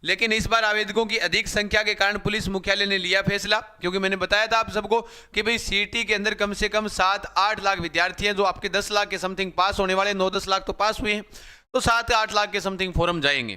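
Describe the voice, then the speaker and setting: A young male coaching teacher with a high, bright voice. He is slightly hoarse but stays smooth and clear. He addresses his viewers over and over in a repetitive, live-class manner. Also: steady tone, a little fast. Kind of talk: live-stream class talk.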